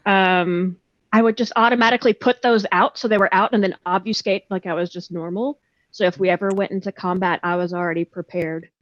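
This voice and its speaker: A woman in her thirties talks casually and frankly, poking fun at herself with salty language. Her pitch sits in the middle range. The sound is dry and a little muffled, as on an online call. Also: steady tone, a little fast, dry recording. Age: 30s. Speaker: guest voice actor. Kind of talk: casual chat. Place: indoors, on a video call.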